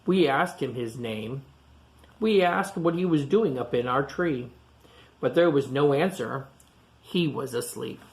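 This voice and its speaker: A man reading a picture book aloud to young children, pausing for simple explanations and questions. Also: mid-range voice, creaky. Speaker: storytime reader for young children. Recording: echoey room sound.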